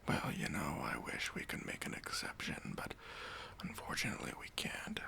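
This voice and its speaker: A man's voice: a bass voice, sing-song, normal speed, sharp tone, full character voice. Whispering, stingy male boss played by a man. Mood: condescending, fussy. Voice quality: breathy whisper. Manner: hesitant, condescending, passive-aggressive politeness. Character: stingy, demanding male boss giving a job interview. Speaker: ASMR roleplay performer. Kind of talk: whispered ASMR roleplay. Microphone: close microphone.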